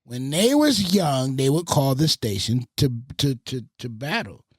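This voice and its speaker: A man from the Bronx and Fayetteville, a radio host and producer, telling animated stories on a podcast and swearing freely. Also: medium pitch, measured pace, husky, no echo. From Bronx, New York and Fayetteville, North Carolina. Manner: animated storyteller with a lot of swearing. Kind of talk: casual podcast storytelling.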